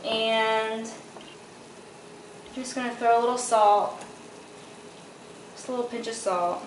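A woman's voice: on the high side, steady tone, slow pace. A middle-aged woman walks through a recipe in her own kitchen, talking in a chatty, instructive way with easy asides. Her voice comes from a distance off the camera mic and rings in the room, over a faint household hum. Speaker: cooking show host. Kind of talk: casual cooking demonstration. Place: home kitchen, indoors. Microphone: camera mic from across the room. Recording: roomy, distant camera audio with light background noise.

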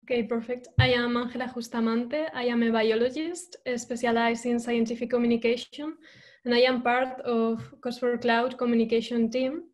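Young woman, even-toned. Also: fairly high pitch, normal speed. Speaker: science communicator. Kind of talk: webinar co-host chat. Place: home room, video call.

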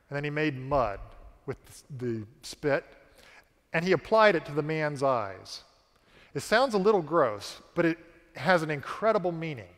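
Slow, lively preaching man in church. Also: a little high. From American South. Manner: slow, emphatic preaching with dramatic pauses. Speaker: pastor. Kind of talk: sermon. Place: large church stage. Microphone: headset mic. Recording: long reverb of a church hall.

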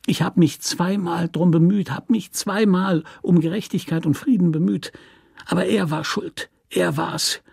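An actor playing a hard, resentful convict in a radio play, with a nasal, whining, hissing voice that pleads and threatens. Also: male voice, sits high, fairly even intonation, measured pace, gravelly, a little mellow, husky, dry recording, clean studio sound, pitched above the performer's own voice, exaggerated voice. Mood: bitter whining. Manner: pleading, aggrieved, snarling threats. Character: hard, lean male convict, resentful, cornered, whining and menacing.

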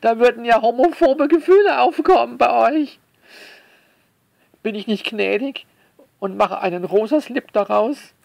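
A man delivering a sarcastic spoken essay to listeners, theatrical and mock-indignant, leaning on words with drawn-out emphasis. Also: very high voice, gravelly, darkish tone, dry recording. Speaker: author commenting on their own novel.

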